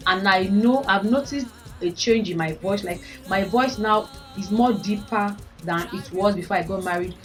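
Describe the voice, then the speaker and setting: A woman in her thirties with a Nigerian accent and a fairly deep voice, chatting frankly to her viewers. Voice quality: deeper voice since having babies. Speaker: vlogger. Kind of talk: casual chat to camera. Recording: voice a bit far from mic, faint music.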